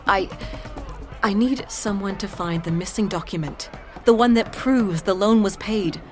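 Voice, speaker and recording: A woman playing a desperate, meticulous captive woman pleading earnestly and haltingly in a fantasy audio drama. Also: medium pitch, lightly acted. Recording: loud music behind the voice. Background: music.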